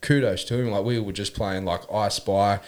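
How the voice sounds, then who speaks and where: A man's voice: lowish voice, fairly even intonation, moderate pace. A young man, a podcast host telling stories in slang and often laughing as he talks. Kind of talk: casual chat.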